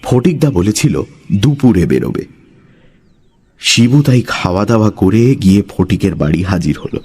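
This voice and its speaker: A man's voice: lowish voice, expressive, normal speed, a little mellow, slight background noise. A man narrating a scripted story in a dramatic storytelling style.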